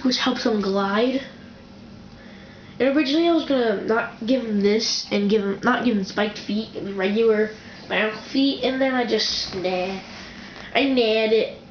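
A woman reviewing a building toy she built, speaking in a casual, rambling way from off camera, often correcting herself mid-sentence. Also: on the high side. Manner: rambling, self-correcting, excitable. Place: indoor room with a wooden floor, off camera. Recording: dull, echoey home camera recording with faint hiss.

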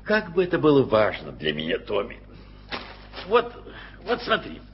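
An older actor playing a fussy, kindly newspaper editor who rambles wistfully, in a radio play. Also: male voice, medium pitch, huge pitch swings, normal speed, slightly rough, heavily acted. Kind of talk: 1980s radio play acting. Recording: dull old radio play recording.

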